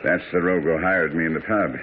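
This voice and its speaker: Guy playing a sharp gentleman detective, deep measured voice, old noisy radio drama sound. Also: fairly even intonation, natural voice. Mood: confident, urgent. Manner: formal, measured, explanatory. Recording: muffled, noisy vintage radio broadcast.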